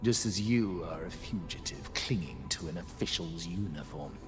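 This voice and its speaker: A man's voice: low voice, moderate pace, soft background music, lightly acted. An actor playing a sly, mocking male cult acolyte in a game, theatrical and taunting.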